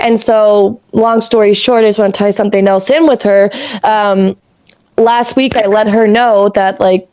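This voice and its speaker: A raspy-voiced woman in her thirties from Canada, co-hosting a podcast in rambling, casual chat that drifts into spiritual talk and easy swearing. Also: mid-range voice, steady tone, moderate pace, a little mellow. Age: 30s. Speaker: podcast co-host.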